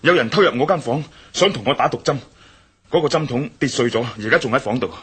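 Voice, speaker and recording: An actor playing a bold, curious young adventurer in a radio drama, speaking with a Cantonese accent and often thinking aloud. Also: male voice, medium pitch, fast, fairly bright tone, breathy edge. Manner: muses aloud in inner monologue. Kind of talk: 1960s-style radio drama acting.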